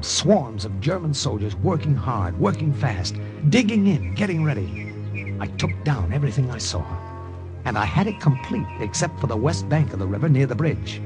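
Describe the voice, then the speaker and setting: Tense first-person spy narrator, played by an actor, old-time radio style. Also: male voice, mid-range voice, lightly acted. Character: American spy posing as a Frenchman, narrating. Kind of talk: 1940s radio acting.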